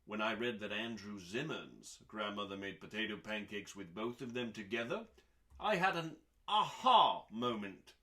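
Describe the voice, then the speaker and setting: A man reading recipes aloud as a host, in a dramatic audiobook style with deliberate pauses and emphasis. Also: lowish voice, expressive, bright, forceful tone.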